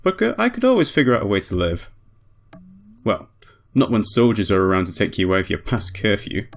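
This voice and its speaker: A man's voice: fairly low pitch, huge pitch swings, a little fast. A man voicing a scrappy, plain-spoken ferryman in an informal, easygoing visual novel dialogue reading. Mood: reflective, casual.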